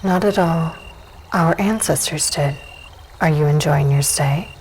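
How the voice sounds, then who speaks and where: A woman's voice: deep voice, a little slow, a little mellow, a little hiss or hum, rough recording. A woman playing a self-assured dusk elf warrior in a fantasy audio drama, hospitable yet probing, composed and matter-of-fact.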